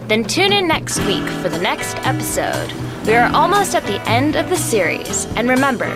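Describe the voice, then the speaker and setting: A woman's voice: high-pitched, big pitch swings, normal speed. A woman playing an upbeat radio show announcer, delivering a scripted sign-off. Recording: loud music over the voice.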